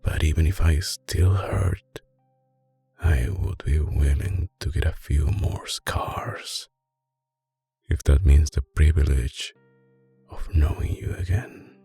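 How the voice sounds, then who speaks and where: A man playing a tender, possessive mafia boss with an Italian accent in an intimate, soft-spoken ASMR roleplay, his voice deep, breathy and husky. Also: full character voice. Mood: vulnerable, hopeful. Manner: slow, hushed, coaxing, with dramatic pauses. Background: music.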